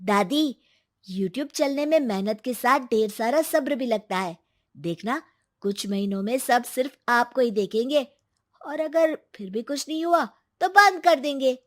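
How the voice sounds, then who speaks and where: A woman's voice: sits high, expressive, measured pace, pitched above the performer's own voice. A woman voicing a devoted schoolboy grandson in a story, speaking in an earnest, eager and insistent way. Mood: reassuring.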